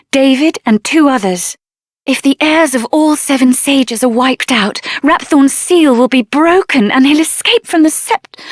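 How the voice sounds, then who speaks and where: A headstrong young adventure heroine with a high-pitched voice, recorded dry and close. She is spirited and earnest, speaking her lines expressively and plainly, as a fantasy video game character. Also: no room sound.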